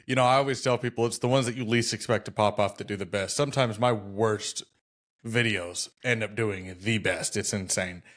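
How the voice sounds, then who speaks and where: A man's voice: fairly low pitch, steady tone, normal speed, fairly bright tone. A man from the United States hosting a podcast over a video call, talking in an easygoing, enthusiastic way. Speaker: podcast host. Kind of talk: casual interview chat.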